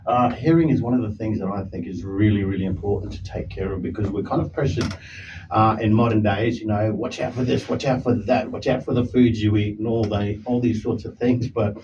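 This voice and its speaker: A man hosts a radio interview in a loose, chatty way. His lowish voice comes from a distance through dull audio with clear background noise. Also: steady tone, a little fast. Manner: casual, chatty, uses you know and isn't it. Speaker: radio host. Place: radio studio.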